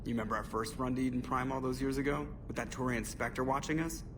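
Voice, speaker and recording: An actor playing a wisecracking, loyal spaceship pilot in a video game, talking in a casual, flip, colloquial way. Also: male voice, medium pitch, fairly even intonation, quick pace, distant mic, background noise, natural voice. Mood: nostalgic, wry. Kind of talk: cinematic video game dialogue acting.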